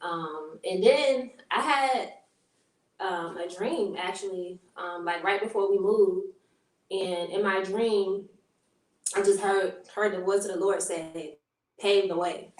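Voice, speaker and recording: A woman from Queens, New York, a guest talking thoughtfully and with many pauses in a casual conversation. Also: medium pitch, distant mic. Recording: roomy sound from off mic.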